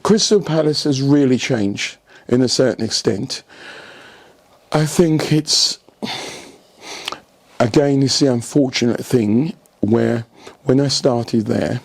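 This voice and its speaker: A man's voice: medium pitch, creaky, breathy edge. An older man, telling stories in an interview as a senior coach, often posing rhetorical questions and checking that the listener follows. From England. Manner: animated anecdotes with rhetorical questions and repeated checks for agreement. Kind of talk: storytelling in an interview.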